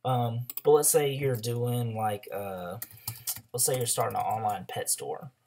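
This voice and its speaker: A hoarse young man with a loose, chatty manner, full of ums and likes, walking through a screen-share tutorial as an online ads coach. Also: medium pitch, steady tone, unhurried, dark tone, a little hiss or hum. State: hoarse after a throat illness.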